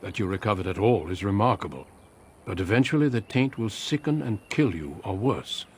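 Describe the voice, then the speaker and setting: A man playing a seasoned, steady warrior recruiter in a fantasy game, speaking in a measured, formal and courteous manner. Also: lowish voice, slight background noise, natural voice. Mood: grave. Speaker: Grey Warden recruiter. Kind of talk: video game cutscene acting.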